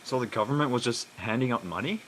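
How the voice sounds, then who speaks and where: A man's voice: mid-range voice, lively intonation, quick pace, a bit bright, slight background noise, close to an everyday voice, poor audio. A man playing a curious student son in a drama reading, casual, slangy and inquisitive.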